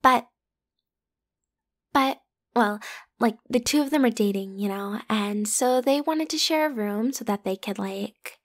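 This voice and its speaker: A woman plays a popular teenage schoolgirl in an intimate ASMR roleplay. Her voice sits fairly high. She speaks in casual, youthful phrases, breezy and pushy, playfully teasing the one person she addresses. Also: measured pace, pauses often. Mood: chatty, explaining. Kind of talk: ASMR roleplay, one-sided conversational acting.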